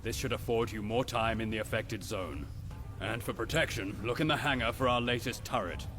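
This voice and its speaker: An actor playing a courteous, concerned official in a space game, speaking in a measured, formal way. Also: male voice, lowish voice, over music, close to an everyday voice. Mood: businesslike. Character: courteous, concerned male station official giving missions.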